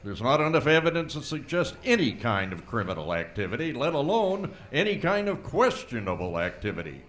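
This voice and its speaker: A man's voice: mid-range voice, sing-song, a little fast, fairly bright tone. A man playing a folksy flirty sheriff. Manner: folksy drawl, teasing. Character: folksy small-town male sheriff, flirtatious.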